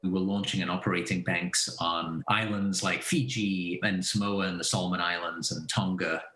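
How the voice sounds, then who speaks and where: A man's voice: low voice, fairly even intonation, normal speed, pushed and bright. A man from Belgium giving conversational interview answers as a company founder and finance advisor. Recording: roomy echo.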